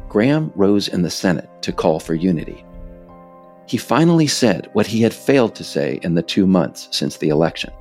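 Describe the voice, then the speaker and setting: An American man reading a scripted narration as its author, in a measured way with emphasis on key phrases. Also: sits low, a little mellow. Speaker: author narrating own work.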